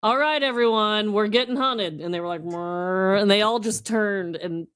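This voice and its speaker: A woman's voice: medium pitch, normal speed, fairly bright tone, clean tone. A playful, exclamatory woman chatting casually and comically as a podcast co-host. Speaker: podcast co-host, quiz contestant. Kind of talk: casual comedic chat.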